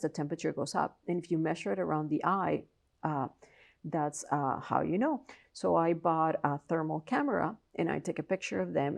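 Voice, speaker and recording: A woman professor from Colombia speaks with a Colombian Spanish accent. Her voice sits a little low and carries a gravelly edge. She explains things earnestly, often restarting her sentences. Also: darkish tone. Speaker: professor and researcher, interview guest. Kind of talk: interview answers.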